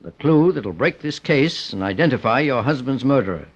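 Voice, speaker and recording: A man's voice: mid-range voice, animated, normal speed, over loud music, lightly acted. An actor playing a shrewd, composed male private detective in an old-time radio drama, probing, formal and authoritative. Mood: coolly probing. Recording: dull old radio recording.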